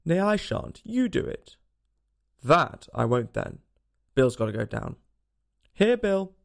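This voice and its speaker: A man's voice: mid-range voice, very animated, slow pace, vocal fry, full character voice. A man voicing a crew of brusque, folksy workers squabbling and calling out orders in a storybook reading. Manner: brusque, shouting instructions.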